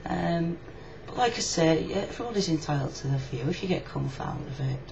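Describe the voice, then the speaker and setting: A woman's voice: sits low, lively intonation, fairly quick. A woman with a Yorkshire accent talking casually, frankly and reflectively in a personal video reply. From Yorkshire, England. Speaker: video blogger. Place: indoors in a room. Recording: muffled lo-fi webcam audio, clear background noise.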